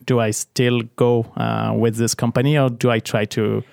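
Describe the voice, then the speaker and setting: A man's voice: mid-range voice, level tone, moderate pace, vocal fry, a little mellow, clean tone, no echo, clean studio sound. A man in his thirties with a French accent, a native French speaker, talking as an interview guest and hesitating, restarting and repeating words. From Paris, France. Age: 30s. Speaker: guest, business founder. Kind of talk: casual interview.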